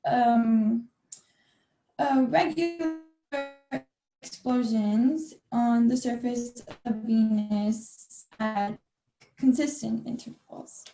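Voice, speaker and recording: A woman's voice: fairly high pitch, drawn-out words, dark tone, echoey room, faint music behind, low-quality recording. A young woman playing a bumbling, unprepared chief astronomer in a spoof radio play, fumbling for words over a video call. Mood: fumbling, nervous. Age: teens to young adult. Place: room at home, video call.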